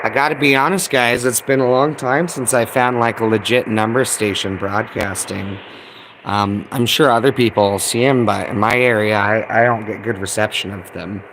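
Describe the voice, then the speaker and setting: Guy chatting casually on a radio livestream, really loud static all around. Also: on the low side, steady tone, moderate pace. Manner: informal, addressing viewers as guys. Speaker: livestream host, shortwave radio hobbyist.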